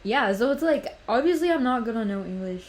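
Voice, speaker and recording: A woman's voice: a little high, lively intonation, a little fast, slight background noise. Chatty young woman, full of "like"s. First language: Spanish. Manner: rambling, fillers of "like" and "literally". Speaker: podcast host. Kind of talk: casual podcast chat.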